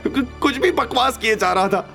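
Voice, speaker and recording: Audio drama henchman, performed by a man: servile bully, strained high voice, very excitable. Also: moderate pace, creaky, faint music behind, full character voice. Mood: grovelling, frightened. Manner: stammering, pleading. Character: grovelling, panicky male henchman who turns bully. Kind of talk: Hindi audio drama acting.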